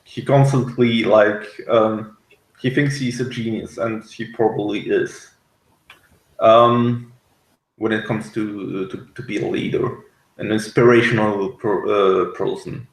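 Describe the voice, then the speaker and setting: A man in a casual discussion of a TV series, speaking haltingly as he searches for words. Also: on the low side, steady tone. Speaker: reviewer on a discussion show. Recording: echoey room sound.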